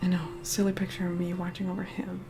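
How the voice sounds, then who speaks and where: A woman playing an aloof, reluctantly caring vampire woman in an ASMR roleplay, soft-spoken and breathy, often whispering, slow and condescending. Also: fairly low pitch, monotone. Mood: wistful, tender. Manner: slow, condescending, grudgingly kind. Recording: loud music behind the voice. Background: music.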